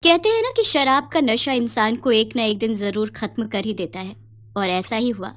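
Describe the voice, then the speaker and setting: A woman's voice: fairly high pitch, expressive, moderate pace, a bit bright. A woman narrating a scripted moral story.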